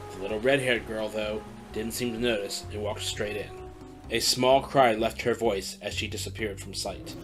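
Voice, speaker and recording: A man narrates an audio drama in a lowish voice with a steady, descriptive delivery. Guitar music plays clearly behind him throughout. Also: rough recording. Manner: even, descriptive storytelling. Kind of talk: scripted narration.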